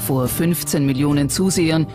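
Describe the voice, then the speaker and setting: A narrator with a mid-pitched voice delivers scripted documentary narration. Music plays clearly behind the voice throughout. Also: normal speed. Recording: narration over prominent music. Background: background music.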